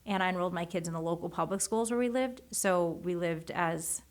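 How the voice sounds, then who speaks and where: A woman in her forties whose first language is Japanese, talking as an author in a casual interview, animated and often restarting her sentences. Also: on the low side, a little fast. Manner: animated, many restarts and self-corrections. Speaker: guest, author.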